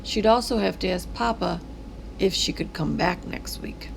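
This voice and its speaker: A woman reading a children's story aloud in an expressive, dramatic way, drawing words out. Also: lowish voice, moderate pace, gravelly, slight background noise, poor audio. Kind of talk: expressive read-aloud narration.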